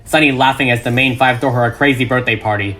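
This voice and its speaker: A man's voice: mid-range voice, steady tone, fast, pushed and bright. A man reading a script as dramatic narration, with emphatic, suspenseful delivery. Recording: music behind the voice.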